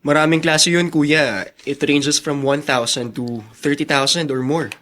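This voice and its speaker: A man's voice: a little high, fairly even intonation, unhurried, a bit bright. A male actor playing a helpful, generous younger brother and fond uncle in a radio drama, eager in manner.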